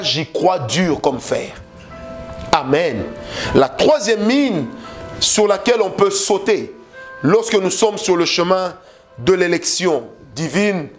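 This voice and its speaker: Male preacher, Congolese French accent, high voice, forceful sermon delivery, faint music underneath. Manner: emphatic preaching with repeated phrases and rhetorical questions.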